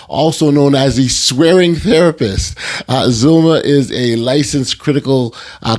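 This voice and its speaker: A man hosting a podcast, smooth when reading his introduction and full of fillers and restarts when he speaks off the cuff. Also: mid-range voice. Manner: polished when reading, halting with many fillers when unscripted. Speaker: podcast host. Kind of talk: scripted intro then conversational hosting.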